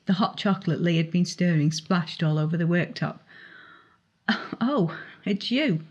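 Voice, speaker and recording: A woman's voice: lowish voice, fairly even intonation, a little fast, darkish tone, lo-fi sound. A woman reading her young adult novel aloud as dramatic audiobook narration. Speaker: author reading own novel excerpt.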